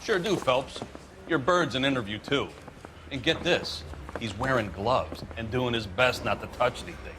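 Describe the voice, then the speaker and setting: A man's voice: mid-range voice, normal speed, close to an everyday voice. A male actor playing a gossipy, amused American police officer with slangy speech in a period crime video game. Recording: noisy station ambience.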